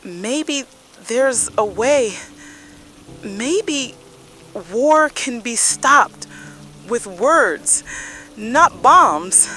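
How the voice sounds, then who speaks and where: A woman playing a deep-sea explorer reporting by radio in an apocalypse drama, speaking in halting, broken phrases with desperate awe. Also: lively intonation, bright, forceful tone, slight background noise, full character voice. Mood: pleading, grave.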